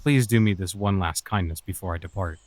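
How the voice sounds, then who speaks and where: A man playing a composed, enigmatic lordly scholar in a fantasy audio drama, speaking in a measured, formal way. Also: low-pitched, dry recording, natural voice. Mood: persuasive.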